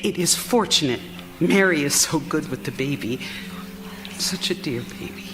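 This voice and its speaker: Anxious young wife, very expressive. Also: female voice, low-pitched, moderate pace. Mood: confiding, melancholy. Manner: theatrical, fretful, apologetic, formal old-fashioned diction. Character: anxious, meek young wife confined for her nerves. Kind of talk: live period radio theater acting. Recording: live theater recording, clear background noise.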